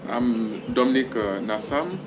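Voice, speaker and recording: Man, slow and careful delivery. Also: mid-range voice, over music. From Benin. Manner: careful, with hesitations.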